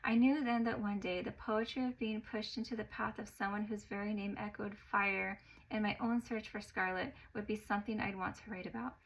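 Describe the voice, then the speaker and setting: A woman narrating a personal story in an expressive way, getting louder at the exciting parts. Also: mid-range voice, a little fast, few pauses, lo-fi sound.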